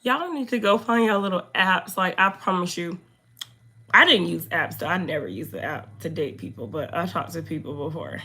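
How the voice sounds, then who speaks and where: A young woman with a hoarse, gravelly voice talks loosely and chattily to her live viewers, drifting from topic to topic. Her tone is bright and a little sharp, sitting at an ordinary pitch. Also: expressive, normal speed. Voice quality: low, slightly deep voice. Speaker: makeup streamer. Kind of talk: casual livestream chat reading comments.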